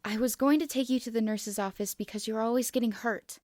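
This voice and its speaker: A woman's voice: on the high side, steady tone, brisk, darkish tone, dry recording, clean studio sound, natural voice. A woman voicing a shy, anxious teenage boy who is hesitant and huffy when flustered, in an anime fan story.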